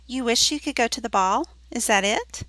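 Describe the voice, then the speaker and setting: A woman doing a gentle fairy godmother. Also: on the high side, moderate pace, no echo, natural voice. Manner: warm and soothing. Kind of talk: storybook read-aloud.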